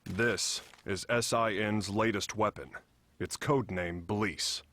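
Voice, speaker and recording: A man voicing a grave, serious fighter in an animated film dub, speaking in a solemn, deliberate way. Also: lowish voice, a little hiss or hum. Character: grave, serious male fighter briefing his team. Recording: music behind the voice.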